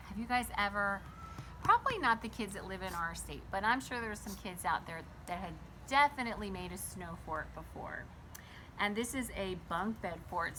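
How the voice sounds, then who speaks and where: A woman reading a picture book aloud to children like a teacher, pausing to explain and ask them simple questions. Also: mid-range voice, background noise. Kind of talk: storybook read-aloud with explanatory asides.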